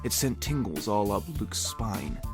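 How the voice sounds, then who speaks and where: A man's voice: on the low side, animated, measured pace. A man narrating comedic fan fiction in a mock-serious, theatrical way with wry asides. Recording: music behind the voice. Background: music.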